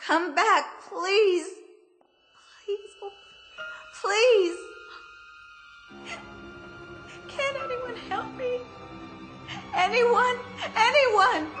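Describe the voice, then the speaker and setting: A very high-voiced, frightened young woman in a radio drama. She stammers and pleads breathlessly, her words coming slowly, with music playing underneath. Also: heavily acted. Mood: desperate pleading. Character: frightened young woman trapped alone in a department store.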